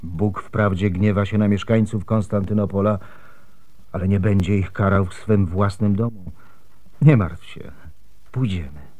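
Mellow, earnest Byzantine husband played by an actor. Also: male voice, on the low side, a little slow, close to an everyday voice. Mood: grave, pondering. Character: worried Byzantine husband and father, earnest, protective. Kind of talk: radio drama acting.

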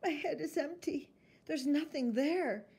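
A woman's voice: sits high, measured pace, mellow, pitched above the performer's own voice. A woman voicing an imaginative, earnest little girl in a storybook, exclaiming in wide-eyed wonder. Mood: upset.

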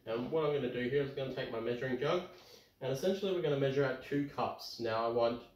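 Croaky Australian man hosting a cooking show. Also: mid-range voice, quick pace, darkish tone. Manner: chatty, upbeat, lots of ums. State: croaky from tonsillitis. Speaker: home cooking show host. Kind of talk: casual cooking demonstration. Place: home kitchen, indoors. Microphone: camera across the kitchen. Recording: roomy kitchen sound, far from mic.

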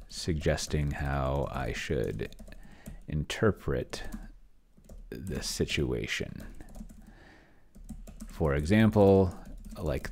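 Very deep, slow, gravelly middle-aged man. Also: slight background noise. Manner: halting, murmuring word by word as he types. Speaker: host of a solo roleplaying experiment stream. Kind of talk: casual thinking aloud while typing and reading from screen. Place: indoor home room. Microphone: desk microphone close to the mouth.

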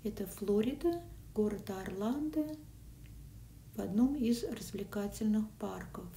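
A woman narrating a casual food and travel vlog in a soft voice that sometimes drops to a whisper, recorded on a phone. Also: medium pitch, expressive, measured pace, gravelly. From Russian-speaking country. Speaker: vlogger. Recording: echoey, from a distance, faint noise.

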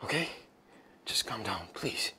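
An actor playing a harried young husband with a Nigerian accent, hesitant and repeating himself in a film drama. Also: male voice, lowish voice, expressive, unhurried, very noisy. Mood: soothing, anxious. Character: harried, placating young husband under family pressure.

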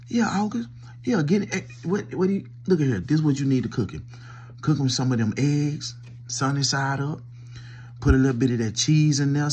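A man's voice: fairly high pitch, darkish tone, slightly rough. A middle-aged man with a cold and a stuffy nose, doing a teasing one-sided pretend call into his phone from a small room. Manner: loose, teasing, talking to an unseen listener. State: sick with a cold, coughing. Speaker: comedy vlogger. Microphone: phone held close.

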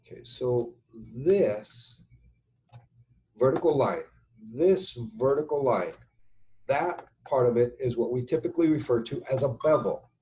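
A middle-aged man with a relaxed, mellow voice explains technical material like an instructor. He goes slowly and haltingly, thinking aloud as he works at his computer, with some keyboard typing around his words. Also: medium pitch, slight background noise, rough recording. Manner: halting, deliberate, thinking aloud while writing. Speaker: structural engineering instructor. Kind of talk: informal tutorial explanation over screen share. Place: indoors at a computer, webcam.

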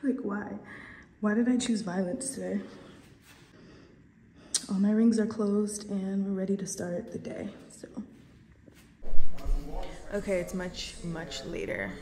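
A woman's voice: mid-range voice, slow pace, long pauses, noisy background. A young woman chatting casually to her viewers in a day-in-my-life vlog, rambling through asides. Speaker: vlogger.

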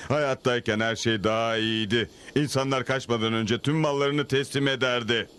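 A man voicing an unhurried, plaintive outlaw brother in a cartoon dub. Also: medium pitch, fairly even intonation, a bit bright, smooth, close to an everyday voice. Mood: grumbling. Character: steady, dry male outlaw brother in a gang. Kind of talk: Turkish-dubbed cartoon acting.

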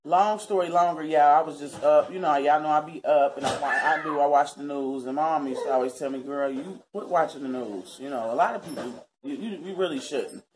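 A woman's voice: deep voice, a little fast, few pauses, background noise. A woman in her thirties from Cincinnati, talking earnestly and casually to her viewers from her living room. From Cincinnati, Ohio, US. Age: 30s. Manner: chatty, earnest, keeps addressing viewers as y'all. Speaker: vlogger. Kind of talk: casual talk to camera.

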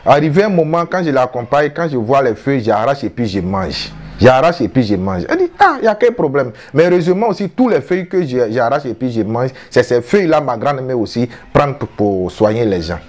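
A man's voice: mid-range voice, big pitch swings, brisk, hardly any pauses, a little mellow, echoey room, distant mic. A man in his fifties, a traditional healer, speaking in an earnest, repetitive, self-promoting way in a casual interview on a veranda. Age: 50s. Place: outdoor veranda by a house wall.

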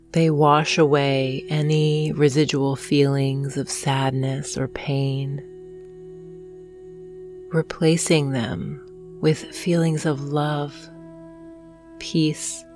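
A woman narrating a guided hypnosis meditation in a soothing, gentle manner, leaving long pauses between phrases. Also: low-pitched. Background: soft meditation music with singing bowls.

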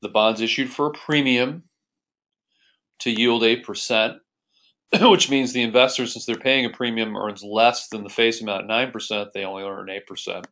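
A man's voice: fairly low pitch, fairly even intonation, normal speed, sharp tone. An American man giving an explanatory screencast lesson as an accounting instructor, explaining methodically and step by step.

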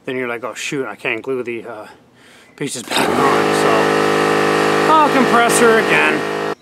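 Young host with a blocked-up cold voice, plain mid pitch, walks viewers through a build outdoors. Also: male voice, wildly swooping pitch, measured pace, creaky, heavy background noise. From Canada. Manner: chatty, explains steps to the camera. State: stuffy from a cold. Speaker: fishing channel host. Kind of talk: casual DIY how-to vlog. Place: outdoor driveway by a garage. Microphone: camera mic, talking to the lens up close.

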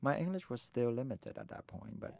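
A man in his twenties from Vietnam with a Vietnamese accent, telling his life story in a candid conversation, earnest and halting, often restarting his sentences. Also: medium pitch, expressive, vocal fry, warm tone, no room sound. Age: 20s. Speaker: interviewee.

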